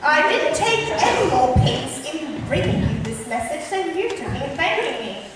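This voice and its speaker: A woman in an amateur school play, playing a sharp-tongued, scornful young lady. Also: sits high, very animated, a little slow, far from the mic. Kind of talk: amateur school stage acting. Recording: echoey hall from afar, clear noise.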